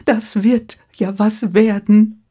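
A woman plays a simple old man from a folktale, resigned yet hopeful. The voice is lifted high and a little husky. He muses to himself or calls out like a market crier. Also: level tone, slow, mellow. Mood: musing, resigned.

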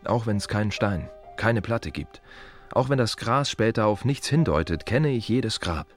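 A reflective city gravedigger at peace with his work, in a radio play monologue. The voice is low and moves with lively intonation through short, plain-spoken phrases. Music plays behind it. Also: measured pace. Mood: solemn. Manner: musing, colloquial, short fragmentary phrases with repetitions.

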